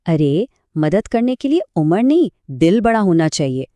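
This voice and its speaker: A woman's voice: fairly low pitch, sing-song, moderate pace, mellow, no room sound. Woman voicing a tiny, confident, kind-hearted fairy girl. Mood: smiling confidence. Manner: cheerful, assured.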